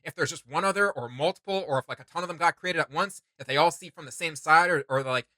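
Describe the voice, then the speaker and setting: A man's voice: fairly high pitch, fast talker, bright, forceful tone, dry recording. A man hosting a casual fan reaction chat, talking in a rambling, enthusiastic way with playful sound words. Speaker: reaction video host.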